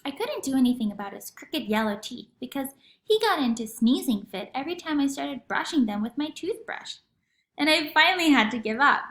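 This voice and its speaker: Female children's read-aloud narrator, high voice, expressive storybook swing, roomy recording. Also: a little fast. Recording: echoey room.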